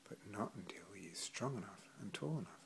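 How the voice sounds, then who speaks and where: A man reading a children's story aloud expressively, with gentle, deliberate phrasing. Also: on the low side, lively intonation, creaky, a little hiss or hum. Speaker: storybook reader.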